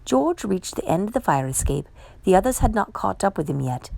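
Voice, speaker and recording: A woman reading a children's picture book aloud with measured, emphatic storyteller phrasing. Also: mid-range voice, big pitch swings, creaky, dark tone, dry recording. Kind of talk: expressive children's read-aloud narration.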